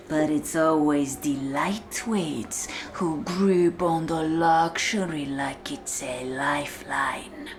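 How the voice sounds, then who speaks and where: Woman doing a bossy cold prison warden lady, low voice, super slow n mocking, asmr roleplay, bit of noise behind. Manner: slow, deliberate, condescending, dropping into whispers. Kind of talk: ASMR-style roleplay monologue spoken to the listener.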